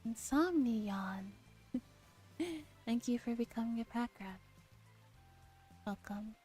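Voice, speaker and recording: Female game streamer, relaxed mellow tone, playful dialogue read-aloud for ASMR, faint music behind. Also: on the high side, slow pace. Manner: playful, acts out dialogue while reading. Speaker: streamer reading a visual novel aloud.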